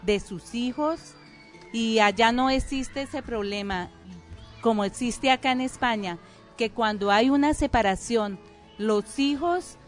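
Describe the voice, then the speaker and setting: A woman's voice: fairly high pitch, measured pace, faint music behind. A woman with a Colombian accent answering interview questions in a firm, plain-spoken way. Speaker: guest.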